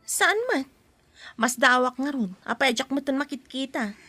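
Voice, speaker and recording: Woman acting nagging young friend, blunt, high-pitched, sharp, audio drama. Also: moderate pace, no echo, faint music behind. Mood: chiding. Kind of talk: Ilocano radio-style comedy drama acting.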